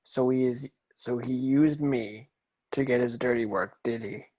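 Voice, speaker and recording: Man as teenage boy wizard hero, cheeky, defiant, casual cocky delivery, mid-pitched, amateur table read. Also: fairly even intonation, a little slow, darkish tone, lightly acted. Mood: wary, angry.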